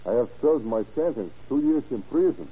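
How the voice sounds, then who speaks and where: A man's voice: mid-range voice, expressive, a little fast, slightly rough. An actor playing a deferential, brooding ex-convict henchman in a 1930s radio crime drama. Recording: dull, muffled old radio recording.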